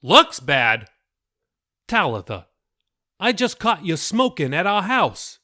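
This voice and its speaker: A man's voice: sits high, sing-song, takes it slowly, bright, forceful tone, pitched above the performer's own voice, heavily acted. A man voicing a middle-aged pastor father who scolds and pleads with his daughter in a raised, earnest voice. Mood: scolding.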